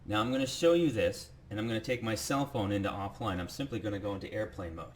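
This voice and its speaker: A man presenting a software demo as a casual, enthusiastic sales pitch, talking the viewer through each step. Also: quick pace, slight background noise.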